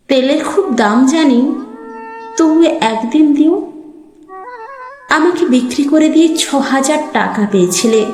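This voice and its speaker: A very high, plaintive young village girl's voice, acted by a woman in an echoey recording with faint music underneath. She recites a sad letter to her mother as a dramatic poem, tenderly, in short phrases with pauses between them. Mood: bitter, hurt. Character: sorrowful young village daughter, sold off, writing to mother. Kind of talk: dramatic Bengali poetry recitation as letter monologue. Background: soft background music.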